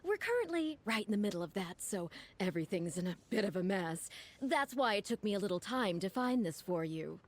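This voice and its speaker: A woman's voice: medium pitch, very animated, a little fast. A woman voicing a polite, helpful young female attendant in a video game, courteous and eager to explain. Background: game background music.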